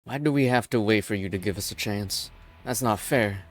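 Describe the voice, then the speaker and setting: A man's voice: on the low side, normal speed, close to an everyday voice. An amateur actor playing a grim, weary pioneer leader, a desperate and disillusioned man, in a dramatic game fan dub. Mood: bitter, resolute.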